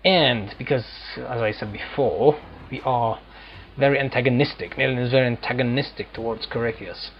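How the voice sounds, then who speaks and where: A man who is a non-native English speaker giving casual, halting, digressive commentary as a gaming let's player. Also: mid-range voice, fairly even intonation, highs cut off. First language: not English. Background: faint game music.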